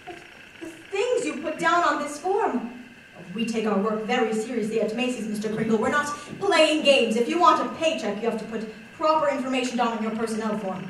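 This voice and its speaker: Girl acting a high-voiced, brisk woman manager, echoing hall. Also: animated, a bit bright. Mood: polite but firm. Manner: polite, firm, formal. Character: brisk, businesslike 1940s department store manager, adult woman. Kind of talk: stage play as 1940s radio drama. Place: stage in a large echoing hall. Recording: echoey hall from far off, faint noise.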